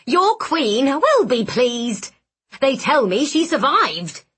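A woman voicing a fussy, brisk but affectionate little male hobgoblin in an audiobook. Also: sits high, big pitch swings, a bit bright, husky, full character voice. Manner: irritated, scolding yet fond. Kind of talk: audiobook character voice.

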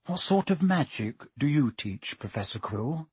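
Man doing a quiet, curious young boy. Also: fairly high pitch, sing-song, normal speed, breathy edge, dry recording, exaggerated voice.

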